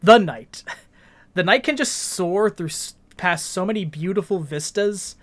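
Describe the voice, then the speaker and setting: A man's voice: sits high, a little slow. A man hosting a podcast, explaining a video game in a casual, articulate way and steering the discussion. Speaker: podcast host.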